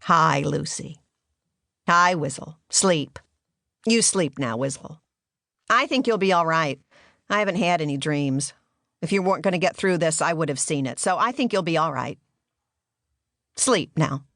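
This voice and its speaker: A woman's voice: low voice, lively intonation, unhurried, long pauses, fairly bright tone, no room sound, full character voice. An actress plays an older small-town mother in a stage monologue. She is blunt and gossipy, wandering through her judgments and correcting herself as she goes. The sound is very dry, with no room on it. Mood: soothing, sure. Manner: rambling, self-correcting, judgmental storytelling. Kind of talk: theatrical monologue in character.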